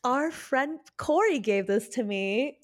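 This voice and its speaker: A woman with a fairly high voice talks casually as a podcast co-host. She is giggly and gushing, with slangy, informal phrasing throughout. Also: animated, slow pace. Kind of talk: casual chat with tarot card readings.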